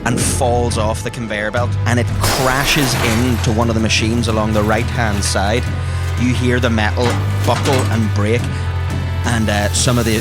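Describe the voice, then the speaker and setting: A man working as game master, improvising vivid and playful dramatic narration for a tabletop game. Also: on the low side. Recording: music behind the voice.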